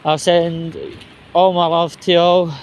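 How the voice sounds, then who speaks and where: Slow-talking man grumbling outdoors over street noise. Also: fairly high pitch, level tone. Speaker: vlogger. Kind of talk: casual walk-and-talk monologue. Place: outdoors, walking at night. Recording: clear outdoor ambience and vehicle noise.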